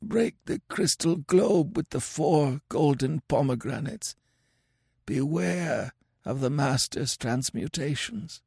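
A man voicing a dying, mangled male sorcerer who raves for vengeance in a racking, inhuman croak, gasping and drooling. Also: a little high, expressive, takes it slowly, a little mellow, dry recording, studio quality, voice pushed up, full character voice. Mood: fading. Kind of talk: audiobook character voice.

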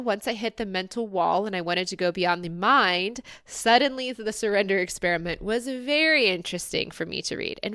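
A woman's voice: mid-range voice, fairly bright tone, clean tone, dry recording, studio quality. A woman hosting her own podcast, talking in a chatty, upbeat way and laughing often. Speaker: podcast host. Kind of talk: casual solo podcast monologue.

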